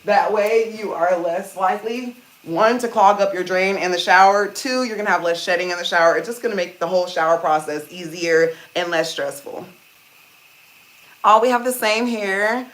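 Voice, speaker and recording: Chatty woman with a deep voice. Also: moderate pace, a bit bright, low-quality recording. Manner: chatty, addresses viewers as "you guys", reassuring "okay?". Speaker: hair care livestream host. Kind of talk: casual live-stream chat reading comments. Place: indoors at home, seated on a small chair. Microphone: phone.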